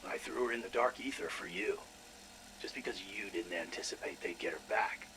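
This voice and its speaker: An actor playing an irritable, sarcastic, foul-mouthed scientist in a video game audio log. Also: male voice, deep voice, fairly quick, pushed and bright, slight background noise, rough recording. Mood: sly, conspiratorial. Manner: sarcastic, exasperated, profane.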